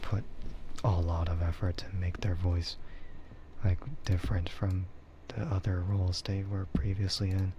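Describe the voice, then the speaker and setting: Slow, low, hushed man streaming ASMR. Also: flat delivery, a little hiss or hum. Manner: hushed, often slipping into whisper; rambling, hesitant. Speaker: VTuber streamer. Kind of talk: soft ASMR casual chat.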